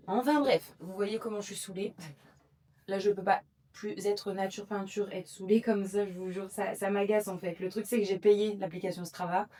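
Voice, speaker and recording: A woman's voice: medium pitch, a little mellow. A young adult woman chatting casually to camera in a vlog, rambling with lots of fillers. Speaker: vlogger.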